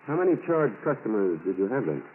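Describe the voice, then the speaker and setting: Businesslike FBI agent, old radio sound. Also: male voice, on the low side, sing-song, fast talker, phone-quality sound. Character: confident, methodical male FBI special agent. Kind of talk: 1940s radio acting. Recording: noisy, band-limited 1940s radio recording.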